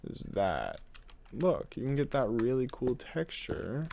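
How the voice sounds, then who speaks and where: Brief: congested male game streamer, lively up-and-down delivery, playful rambling, faint keyboard clicks behind. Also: mid-range voice, takes it slowly, vocal fry, slight background noise. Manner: playful, rambling, chuckles often. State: congested, runny nose. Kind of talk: casual live-stream chat while building.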